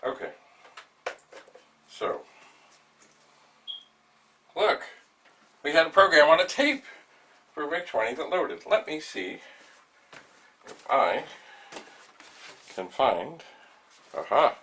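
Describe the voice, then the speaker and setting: An older man hosting a vlog, thinking aloud in a rambling, halting way as he narrates a hands-on demonstration. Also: a little high, sing-song, bright, forceful tone. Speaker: vlog host, retro computer hobbyist. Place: small cluttered indoor room at home. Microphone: camera mic a few steps away. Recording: distant mic, noisy room.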